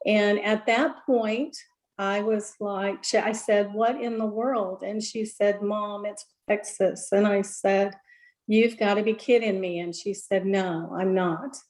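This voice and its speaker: A woman in her sixties from Louisiana, earnestly sharing a personal testimony on a video call, with many pauses and fillers. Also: medium pitch, fairly even intonation, a little mellow, low-quality recording. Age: 60s. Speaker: guest giving a testimony.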